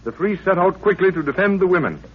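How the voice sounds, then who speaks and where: A man with a husky voice giving dramatic, portentous scripted narration as the narrator of a 1930s radio serial. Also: high-pitched, lively intonation, fast, a little hiss or hum. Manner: portentous, declamatory. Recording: thin, phone-like 1930s radio recording.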